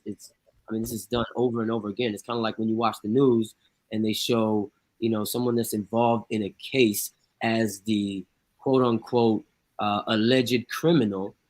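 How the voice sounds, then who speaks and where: A man's voice: fairly low pitch, steady tone, moderate pace. A man on a talk show making his points emphatically, with rhetorical questions and a blunt, argumentative manner. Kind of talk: casual radio talk show.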